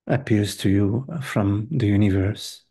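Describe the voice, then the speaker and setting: A man leading a guided meditation as a teacher, speaking gently and hesitantly in short phrases with long pauses between them. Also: fairly low pitch, husky. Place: live online group session.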